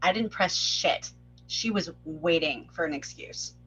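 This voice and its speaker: An actress playing a callous, sharp-tongued young woman who stays cool and unfazed in a screenplay table read. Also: sits low, unhurried, husky, heavily acted, poor audio. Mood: dismissive, impatient.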